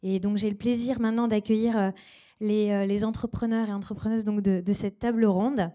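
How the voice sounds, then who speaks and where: A woman's voice: mid-range voice, steady tone, brisk, warm tone. A woman hosting a conference panel and introducing the speakers, with frequent hesitations and fillers. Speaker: event host. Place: conference stage.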